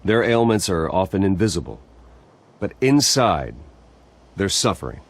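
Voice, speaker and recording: An actor playing a composed, guarded rich philanthropist in a video game, speaking in a measured, earnest way. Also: male voice, low-pitched, very animated, full character voice. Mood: solemn. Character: wealthy composed heir and philanthropist, adult man, guarded. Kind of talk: video game dialogue, public speech.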